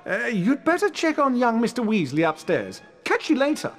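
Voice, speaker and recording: An actor playing a vain, showy British wizard professor in a video game, pompous and self-satisfied. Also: male voice, extremely high pitch, wildly swooping pitch, normal speed, fairly bright tone, long reverb tail, soft background music, heavily acted. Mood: pompous, reassuring. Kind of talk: video game character acting.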